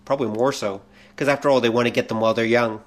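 A man's voice: mid-range voice, steady tone, racing. Middle-aged man hosting a casual podcast. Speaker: podcast host. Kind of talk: casual podcast chat about pop culture.

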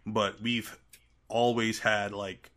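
A man in his thirties with a fairly low, bright voice, from Trinidad and Tobago. He talks in a casual interview style as a guest, feeling his way through sentences with frequent restarts. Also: little pitch movement, slow. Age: 30s. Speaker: podcast guest.

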